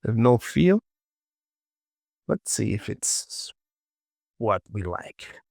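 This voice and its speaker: A man narrating a casual step-by-step software tutorial in short instructions, often repeating phrases and asking himself questions. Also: fairly low pitch, very animated, very slow, gravelly, no room sound, clean studio sound.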